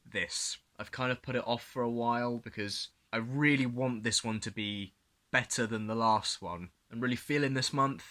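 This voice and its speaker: Excitable young man with a bright voice. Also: medium pitch. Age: young adult. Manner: excitable, earnest, repeats himself. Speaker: vlogger. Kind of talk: video diary to camera.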